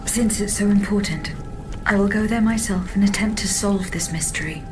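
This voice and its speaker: Female automaton bodyguard, actress: measured, formal, loyal, mid-pitched, game dialogue over loud music. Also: lightly acted. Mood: calm, resolute. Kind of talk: video game voice acting.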